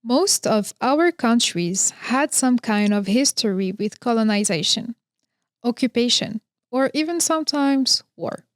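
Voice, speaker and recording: A woman in her thirties from Morocco, hosting a podcast and telling her own story in a slow, reflective, earnest monologue. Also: a little high, lively intonation, no room sound, clean studio sound. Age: 30s. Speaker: podcast host. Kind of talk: scripted personal monologue.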